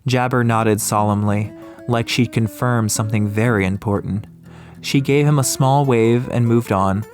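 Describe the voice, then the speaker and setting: A man's voice: mid-range voice, fairly even intonation, normal speed, darkish tone. A man narrating an atmospheric audiobook story from a script. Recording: music behind the voice.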